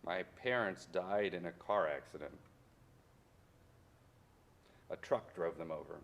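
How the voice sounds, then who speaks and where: A man playing a haunted, defiant history professor in a theatrical monologue, speaking deliberately and with dramatic emphasis. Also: deep voice. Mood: grieving, bitter. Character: haunted Mexican-born history professor orphaned by deportation, wounded, defiant. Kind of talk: theatrical monologue in a staged reading.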